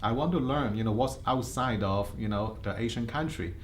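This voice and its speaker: A man's voice: on the low side, normal speed, slight background noise. A middle-aged man with a Hong Kong Cantonese accent, telling his life story in an interview, earnest and full of fillers and restarts. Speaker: insurance agency head.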